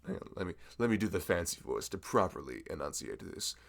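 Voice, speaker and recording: A man plays a friendly young florist in an ASMR roleplay, who chats with a customer in a low, gravelly voice. His pitch swings widely as he rambles, laughs often and pokes fun at himself. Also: very animated, quick pace, exaggerated voice. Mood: chatty. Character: chatty nerdy young male florist, friendly.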